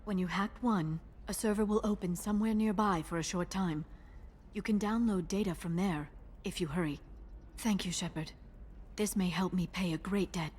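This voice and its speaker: A woman playing a guarded, earnest alien information broker in a video game, speaking in a measured way. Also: on the low side, steady tone, darkish tone, slightly rough. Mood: earnest, businesslike. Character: guarded alien female information broker, earnest, quietly menacing when crossed. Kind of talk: video game dialogue acting.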